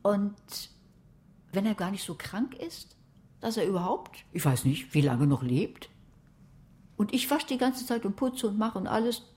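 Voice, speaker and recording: An actress playing a bookish, cautious, sceptical woman in a radio play, husky-voiced and dry in dialogue and narration. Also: medium pitch, sing-song, a little slow, a little mellow. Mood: doubtful, worried.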